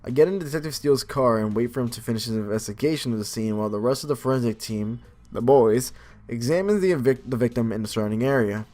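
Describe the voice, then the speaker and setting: A man's voice: mid-range voice, fast talker, a little mellow. A man doing casual let's play commentary, reading game narration aloud and adding informal, joking asides. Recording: faint game music behind.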